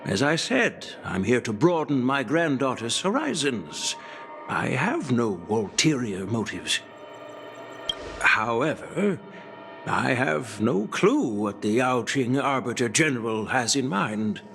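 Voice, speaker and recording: An actor playing an elderly general, a shrewd and wry grandfather with a measured, weighty, teasing manner, in a video game. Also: medium pitch, sing-song, vocal fry, a bit bright, faint music behind, heavily acted. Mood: grave, measured. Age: older.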